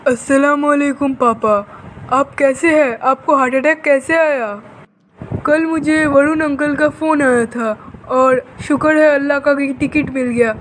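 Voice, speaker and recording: Man acting high-pitched, devoted young lover. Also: fairly even intonation, a little slow, fairly bright tone, slight background noise, rough recording. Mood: worried, relieved. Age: young adult. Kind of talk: dubbed cartoon story dialogue.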